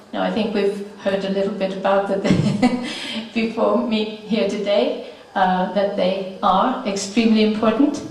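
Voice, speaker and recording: A woman with an Icelandic accent giving a prepared conference talk, measured and pausing often with "uh" fillers. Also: a little high, a little mellow. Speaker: conference speaker. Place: conference hall. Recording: echoey hall, mic at a distance.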